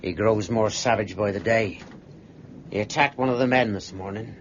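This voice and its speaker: An actor playing a sober, uneasy ship's first mate, speaking formally and gravely in a period drama. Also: male voice, on the low side, normal speed, natural voice. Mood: uneasy, deferential. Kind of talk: period radio drama, log narration and dialogue. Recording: dull recording with faint noise.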